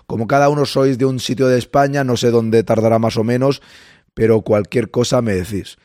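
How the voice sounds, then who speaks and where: A man with a Spain Spanish accent hosting a casual livestream, a bit hoarse, chatting with viewers and greeting them by name. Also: mid-range voice, fairly even intonation, brisk, darkish tone, dry recording, studio quality. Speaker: livestream host. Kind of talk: casual live chat with viewers.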